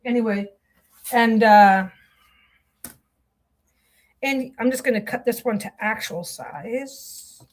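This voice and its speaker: A woman in middle age chats on as she works at her sewing. Her voice sits a little high, and her telling wanders, full of restarts and little asides about the piece in her hands. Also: takes it slowly, long pauses. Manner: chatty, meandering storytelling with frequent restarts and self-interruptions. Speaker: vlogger sewing on camera. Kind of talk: rambling casual chat while sewing.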